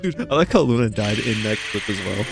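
Excitable young man gaming with friends. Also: on the low side, brisk, soft background music, low-quality recording. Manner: excitable, laughing, teasing. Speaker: streamer playing with friends. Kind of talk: casual gaming banter.

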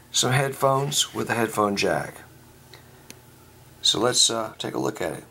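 A man hosting a casual hands-on product review, talking in a folksy, informal, halting way. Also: mid-range voice, fairly bright tone. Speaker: host of a product review video channel. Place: at a tabletop, later out in a backyard. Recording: clear background noise, home camera recording.

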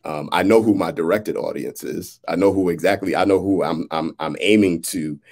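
An American man in his thirties in reflective conversation, speaking in a halting, thoughtful way as a playwright. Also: sits low, vocal fry, a little mellow. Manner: halting, thoughtful, often checks in with "right?". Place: indoors, on a video call. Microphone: video call.

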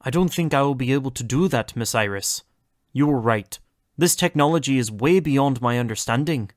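An anguished, earnest scientist, played by a man in an audio drama. He speaks formally at a middling pitch, recorded dry and close. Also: moderate pace, no room sound, natural voice. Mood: dejected. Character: earnest, anguished male Welsh scientist, formerly a soldier.

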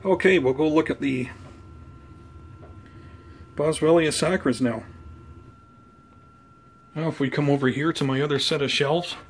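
A man giving an unhurried, conversational show-and-tell as a hobby vlogger, talking casually indoors. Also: fairly high pitch. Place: indoors at home by plant shelves. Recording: clear background noise, room ambience.

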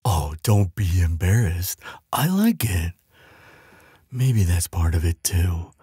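A tender, earnest giant acted by a man murmurs in a very husky, breathy voice, close and dry. He takes his time, soothing and affectionate, with lilting, expressive intonation, as in an intimate ASMR roleplay. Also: deep voice, no room sound, exaggerated voice. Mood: earnest, thoughtful. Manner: tender, unhurried, hesitant murmuring with soft hums and sighs.

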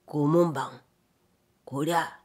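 Woman putting on an eerie, low, gloomy samurai call. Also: fairly even intonation, a little mellow, exaggerated voice. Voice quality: hollow, sinister, muffled gloom. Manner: slow, drawn-out calling to a gatekeeper. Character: bored samurai faking an eerie, gloomy kappa-like calling voice. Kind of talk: dramatic storytelling of a period novel.

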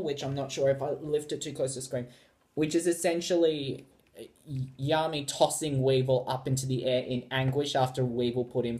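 A man's voice: a little high, a little mellow. A man in his twenties with an Australian accent, talking casually and theatrically in a reaction vlog, recorded indoors on a device mic. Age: 20s. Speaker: YouTube reactor. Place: small bright room indoors.

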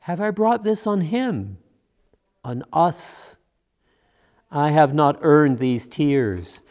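An older man as a slow, guilt-ridden grieving disciple. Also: fairly high pitch, lively intonation, darkish tone. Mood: sorrowful, ashamed. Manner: slow, reflective, questioning monologue. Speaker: minister. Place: church sanctuary, at lectern. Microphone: gooseneck lectern microphone.

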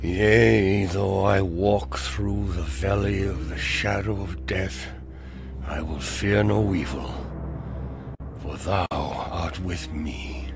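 A grim old preacher with a gun speaks in a low, creaking growl. He is righteous and vengeful, delivering scripture like a threat in a western video game, with music playing behind him. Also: slow, exaggerated voice. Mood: solemn menace. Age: older. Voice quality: gravelly. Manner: solemn, menacing preacher's delivery. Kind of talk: dramatic video game acting, scripture-quoting.

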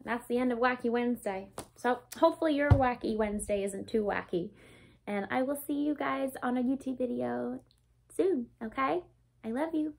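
A woman in her twenties reading a picture book aloud to young children, expressive and speaking to them directly. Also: a little high, moderate pace, creaky. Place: small room indoors.

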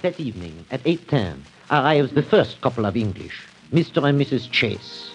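An actor playing a fussy, excitable French hotel manager with a French accent and broken English in a radio play. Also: male voice, mid-range voice, moderate pace, soft background music, natural voice, rough recording. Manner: theatrical, exclamatory, broken English.

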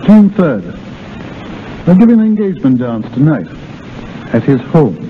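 An actor playing a genial, tactful gentleman doctor in a 1930s radio drama. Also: fairly high pitch, big pitch swings, moderate pace. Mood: tactful, careful. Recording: tinny, noisy 1930s radio recording.

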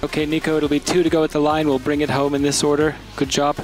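A man's voice: on the high side, monotone, quick pace. A man acting as race engineer, passing information over team radio. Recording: radio transmission under music. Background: music and car noise.

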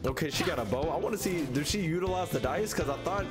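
A young American man giving casual, excited reaction commentary, slangy and playful, often repeating his phrases. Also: a little high, fast. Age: young adult. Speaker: reaction video host. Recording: loud game music behind voice.

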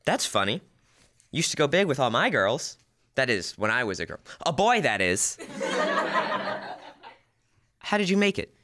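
Give the part to a teenage boy acting a bumbling, nostalgic radio sitcom husband. His bright voice leaps all over in pitch as he gushes and gets flustered. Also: sing-song, unhurried, long pauses, slight background noise. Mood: puzzled, breezy. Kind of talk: old-time radio comedy acting. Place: school library room, stage microphone.

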